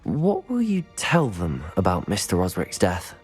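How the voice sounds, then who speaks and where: Lively, earnest wizard student. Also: male voice, low voice, measured pace, soft background music, heavily acted. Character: teenage male wizard student, earnest, polite. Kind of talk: video game cutscene acting.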